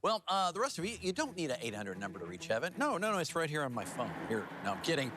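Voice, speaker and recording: Animated higher-pitched male news anchor. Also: quick pace. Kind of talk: television newscast with casual co-anchor banter.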